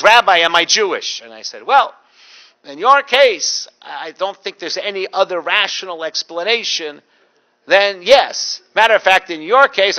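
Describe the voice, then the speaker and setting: A man's voice: on the high side, lo-fi sound. A middle-aged man, a rabbi giving a public talk, telling stories with dry humor and mimicking the people in them. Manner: animated, mimics voices in anecdotes. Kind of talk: storytelling lecture with dry humor.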